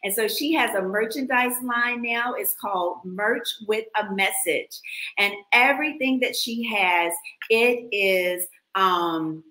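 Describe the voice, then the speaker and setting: Host: woman, bright forceful voice, effusive, roomy echo. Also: mid-range voice, slow. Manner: effusive, animated, casual y'all. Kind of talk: enthusiastic show hosting.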